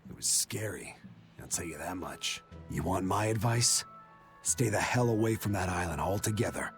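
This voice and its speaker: A rough, spooked sailor with a low voice. He spins warnings in a folksy, emphatic manner, like a game character, over clear background music. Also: animated, moderate pace, fairly bright tone. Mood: wary. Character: rough, spooked seafarer spinning a cautionary tale. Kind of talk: video game voice acting.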